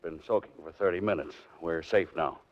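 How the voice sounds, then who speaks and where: An actor playing a brisk, skeptical police inspector with an authoritative manner in a 1940s radio drama. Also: male voice, low-pitched, over loud music, natural voice. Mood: brisk, urgent. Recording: dull, noisy 1940s radio recording.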